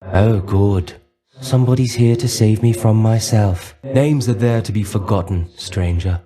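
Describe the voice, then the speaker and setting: A man's voice: fairly low pitch, a little slow, natural voice. A man voicing a weary, brooding dead Greek warrior in a video game, resigned and aloof, with formal, archaic phrasing. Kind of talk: video game character dialogue.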